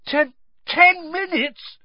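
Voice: mid-range voice, wildly swooping pitch, unhurried, husky, dry recording, lo-fi sound, pitched above the performer's own voice, full character voice. A narrator voicing an anxious, incredulous teenage schoolboy in an audiobook style. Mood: panicked, hopeless. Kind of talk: audiobook character voice.

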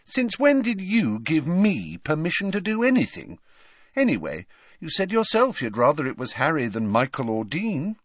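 A man's voice: fairly high pitch, wildly swooping pitch, moderate pace, no room sound, studio quality, voice pushed up. A man voicing a fiery, scornful teenage girl in an audiobook, scoffing and indignant.

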